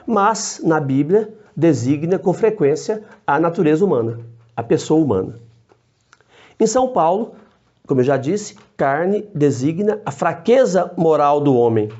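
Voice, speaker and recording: Priest with a mellow voice. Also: male voice, fairly high pitch. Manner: measured, explanatory, short phrases with frequent tag questions. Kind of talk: theology teaching to camera. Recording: dull lo-fi recording.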